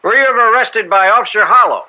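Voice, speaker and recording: A man's voice: high voice, fast, pushed and bright, low-quality recording. A man acting in a radio courtroom drama as a smug, slick American defense attorney, formal, pointed and oratorical. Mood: probing.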